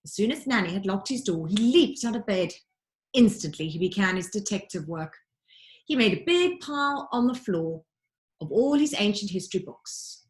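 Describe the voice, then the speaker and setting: A woman reads a children's adventure story aloud in an expressive, teacherly way. Her voice sits at a middling pitch, and she places dramatic, deliberate emphasis on key words as she narrates. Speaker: teacher reading aloud to pupils.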